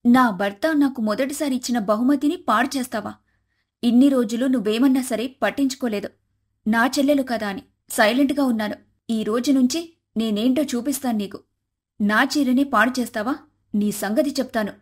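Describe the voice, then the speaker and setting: A woman storyteller plays a young wife and elder sister in a cartoon family drama. Her voice sits high, and she carries a wronged, vengeful temper. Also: moderate pace, lightly acted. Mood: angry, vengeful.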